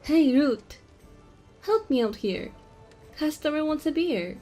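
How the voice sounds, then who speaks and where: Woman as slow-talking cheeky little goblin barmaid. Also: high voice, expressive, mellow, faint music behind. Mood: teasing. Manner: teasing, chatty, playful. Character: small cheeky female goblin bartender in fantasy tavern. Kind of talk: fantasy audio roleplay, addressing the listener softly.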